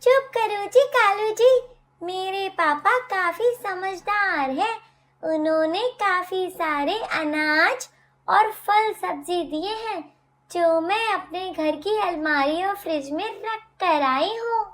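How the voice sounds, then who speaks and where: A woman voicing a young crow wife in an animated moral story, plaintive, scolding and easily tearful. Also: very high voice, takes it slowly, heavily acted. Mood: chatty. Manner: plaintive, dramatic.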